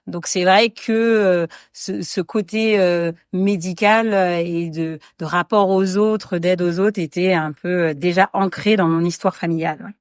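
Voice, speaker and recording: A woman's voice: fairly low pitch, clear, resonant tone, dry recording, studio quality. A woman doctor talking about her work in a podcast interview, hesitant, with many fillers and restarts. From France, raised in Guadeloupe. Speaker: medical regulator doctor, guest.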